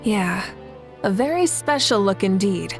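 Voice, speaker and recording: A woman's voice: medium pitch, lively intonation, a little slow, faint music behind. A woman voicing a confident, composed young woman leader in a video game, speaking reassuringly. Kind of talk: video game character acting.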